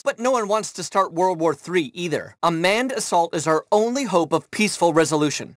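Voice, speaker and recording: An actor playing a smug, self-assured rich tech mogul giving mission orders in a video game, breezy and self-important. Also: male voice, on the high side, no echo, clean studio sound, close to an everyday voice. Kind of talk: game mission dialogue over comms.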